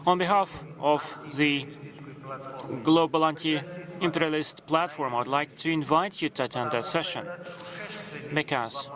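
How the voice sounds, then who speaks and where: A man with a Greek accent addresses a forum in a large hall, his voice sitting a little higher than most men's. He speaks politely and in a measured way, pausing now and then to find his words. A clear hum of crowd noise runs beneath him. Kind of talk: formal conference address.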